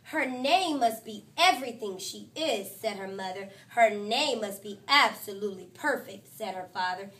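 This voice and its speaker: A woman teacher reading a picture book aloud to her class, with lively, expressive storytelling. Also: normal speed, few pauses, distant mic. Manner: expressive storytelling, voices quotes higher and louder.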